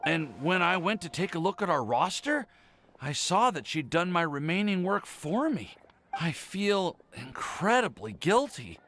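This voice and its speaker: A man's voice: high-pitched, a bit bright, slight background noise, heavily acted. An actor playing an earnest, lovestruck young man full of self-doubt in a video game, speaking formally and hesitantly. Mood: guilty.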